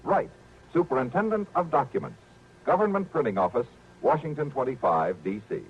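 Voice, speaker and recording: A man's voice: medium pitch, lively intonation, a little fast, husky. A man with an American accent announcing formally and emphatically in the scripted style of mid-century radio. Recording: muffled vintage radio recording.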